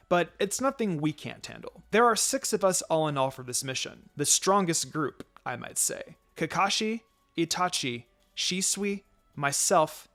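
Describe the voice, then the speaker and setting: Higher-voiced man reading a story over music. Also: a bit bright. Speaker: fan fiction reader. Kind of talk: scripted first-person story narration.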